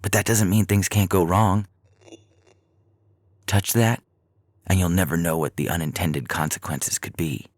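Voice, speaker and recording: A man's voice: low-pitched, steady tone, measured pace, pauses often, voice pushed up. A man reading the part of an alarmed, cautious male friend arguing in a science fiction story, with urgent, emphatic warnings. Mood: urgent, cautionary.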